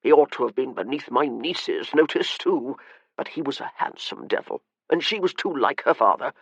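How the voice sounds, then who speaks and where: A man voicing a frail, irritable, wealthy old gentleman in his later years, short of breath, with a rasping, haughty, slow and weary delivery. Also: medium pitch, expressive, no room sound. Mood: disdainful.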